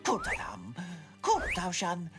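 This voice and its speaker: A woman voicing a fussy, excitable little farmer Smurf in an animated cartoon dub, dramatic and fretful. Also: on the low side, slow, loud music behind the voice, heavily acted. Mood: explaining anxiously.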